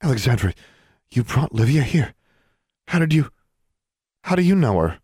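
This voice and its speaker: A man's voice: mid-range voice, big pitch swings, moderate pace, husky, dry recording, clean studio sound, voice pushed up. A man voicing a distressed, protective mother in a story, agitated and reproachful. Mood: stricken, alarmed.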